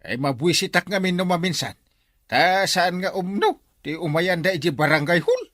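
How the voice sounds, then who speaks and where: Guy doing grumbly ilocano barangay captain, comedy drama, wild up and down pitch, very dry sound. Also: big pitch swings, normal speed, fairly bright tone, no room sound. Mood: flustered, indignant. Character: excitable, grumbling male village captain, lazy and exasperated. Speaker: lead actor in a comedy drama. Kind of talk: Filipino radio-style comedy drama acting.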